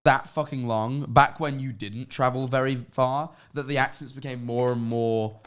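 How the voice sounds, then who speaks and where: A man's voice: medium pitch, fairly even intonation, moderate pace, a bit bright, clean tone. A young man with an East Midlands English accent, chatting casually on a stream, rambling and swearing with lots of fillers. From East Midlands, England.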